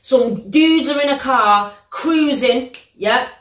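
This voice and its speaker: A young woman with a British accent, hosting a reaction video in a living room, telling stories in an animated, slangy, exclamatory way. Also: high voice, slow pace. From United Kingdom. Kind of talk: casual reaction vlog. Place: living room, sitting on a sofa. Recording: roomy living-room echo.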